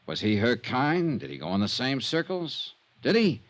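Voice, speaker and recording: An American actor playing a hard-boiled, sardonic insurance investigator in 1950s radio drama, with clipped lines and dramatic pauses. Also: male voice, mid-range voice, sing-song, natural voice. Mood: accusing, sardonic. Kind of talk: 1950s radio detective drama acting and narration. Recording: vintage radio recording with music.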